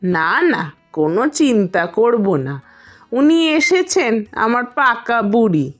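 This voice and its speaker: A woman's voice: fairly high pitch, big pitch swings, takes it slowly, faint music behind. A woman voicing a caring village mother in a cartoon, fussing and admonishing in a motherly way. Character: fussy, caring village mother instructing her daughters. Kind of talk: cartoon voice acting.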